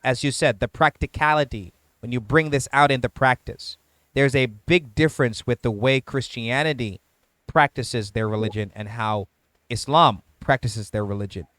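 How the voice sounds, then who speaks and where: Measured man explaining, dry close sound. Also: mid-range voice, a bit bright, no room sound. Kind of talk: casual debate.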